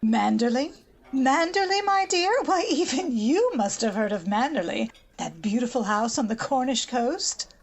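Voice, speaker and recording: A woman's voice: fairly high pitch, animated, normal speed. A woman playing a conceited, bossy older American society matron in an old-time radio drama, haughty and gushing. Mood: gushing, condescending.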